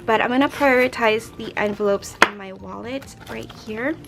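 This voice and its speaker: A young woman with a raspy, hoarse voice, chatting casually as a budgeting vlogger and counting out cash into envelopes. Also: fairly high pitch, moderate pace, heavy background noise. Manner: chatty, rambling, counts money aloud. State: hoarse from a late night. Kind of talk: casual chat while stuffing cash envelopes.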